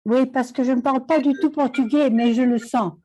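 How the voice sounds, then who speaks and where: High, mellow-voiced woman asking a question. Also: steady tone. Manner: chatty, pauses for the interpreter. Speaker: audience member asking a question. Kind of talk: question in an online Q&A.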